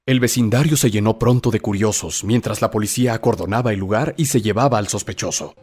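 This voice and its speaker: Low-voiced man narrating horror. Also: fast talker, a little mellow. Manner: theatrical, suspenseful storytelling. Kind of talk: dramatic scripted horror narration.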